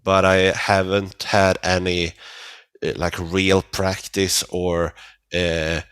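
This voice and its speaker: A man with a Swedish accent, a native Swedish speaker, chatting casually as an interview guest in halting phrases. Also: sits low, little pitch movement, fairly bright tone, clear, resonant tone, no echo. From Sweden. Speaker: metal band vocalist, interview guest.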